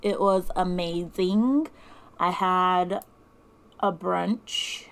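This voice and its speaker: A woman's voice: fairly low pitch, very slow, creaky. A woman in her late twenties co-hosting a podcast, telling stories in a dry, matter-of-fact casual way. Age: 20s. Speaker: podcast co-host. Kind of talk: casual chat.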